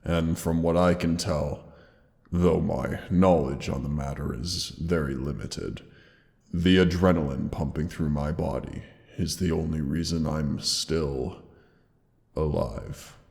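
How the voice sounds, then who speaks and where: Guy doing a huge old dragon, super deep gravelly voice, hurt and dying, soft and loving, lots of reverb. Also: exaggerated voice. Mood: tender, pained. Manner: tender, slow, weary. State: wounded, pained. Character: dying ancient male dragon, gentle and loving. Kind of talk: audio roleplay drama.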